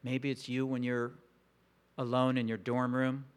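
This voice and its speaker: Slow, reflective male pastor. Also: mid-range voice, monotone, clear, resonant tone. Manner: slow, reflective, intimate delivery with long pauses. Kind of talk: sermon.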